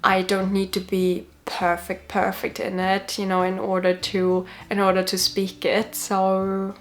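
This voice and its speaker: A young woman talks to camera in an easygoing, chatty way. She fills pauses with ums and yeahs and often restarts her sentences. Her voice sits at a middle pitch for a woman. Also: soft background music. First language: not Swedish; Swedish is her sixth language. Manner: relaxed, chatty, many fillers and restarts. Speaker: vlogger. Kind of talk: casual talk to camera.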